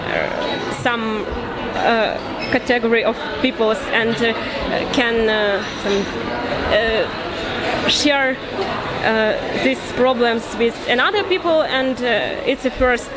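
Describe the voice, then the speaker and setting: A woman interviewee speaking English, hesitant and halting as she searches for words. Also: a little high. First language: not English. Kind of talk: street interview answer. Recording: crowd noise in public space.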